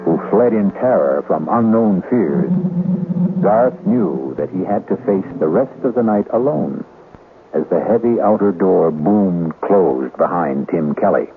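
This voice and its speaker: Deep ominous male radio narrator. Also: lively intonation, background noise. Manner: measured, ominous, dramatic. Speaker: host and narrator. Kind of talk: scripted suspense narration for old-time radio. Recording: tinny old radio broadcast, music behind.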